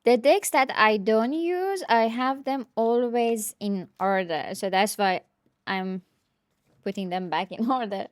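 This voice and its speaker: Slow-talking woman with a higher voice. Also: dry recording. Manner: hesitant, thinking aloud. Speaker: tarot deck reviewer. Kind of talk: casual unscripted show-and-tell.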